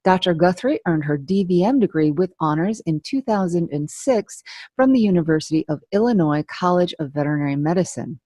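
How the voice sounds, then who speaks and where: Low-voiced woman podcast host. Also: dry recording. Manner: measured reading, then laughing, animated questions. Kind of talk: read introduction, then casual interview chat.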